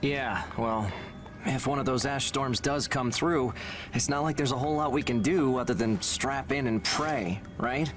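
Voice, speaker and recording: A man's voice: medium pitch, a little slow, lightly acted. A man playing a brash, friendly young fighter in a video game, chatty, teasing and boastful. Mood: casual, uneasy. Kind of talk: video game cutscene voice acting. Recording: music behind the voice.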